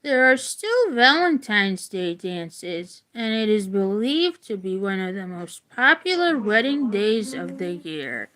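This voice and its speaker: A woman's voice: medium pitch, sing-song, takes it slowly, few pauses, a bit bright, rough recording. A woman reading a children's nonfiction book aloud like an educator, adding informal, casual asides.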